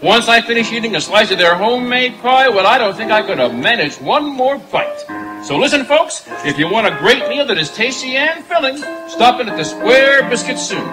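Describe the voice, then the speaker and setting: A man playing a chatty, folksy sponsor pitchman in a live old-time radio show. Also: lively intonation, moderate pace, low-quality recording. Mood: enthusiastic. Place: outdoor night stage in the woods. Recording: outdoor stage with music and crowd.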